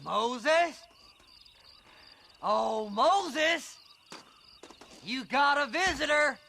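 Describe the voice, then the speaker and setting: An actor playing a half-mad, greedy, filthy treasure hunter in a Western video game, rambling in a manic American drawl. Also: male voice, very high voice, expressive, very slow, vocal fry, sharp tone, a little hiss or hum, heavily acted. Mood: taunting.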